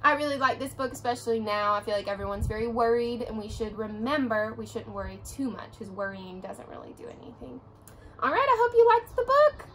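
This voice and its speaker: A young adult woman reading a children's picture book aloud, with expressive storytelling. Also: on the high side, a little fast, a little hiss or hum.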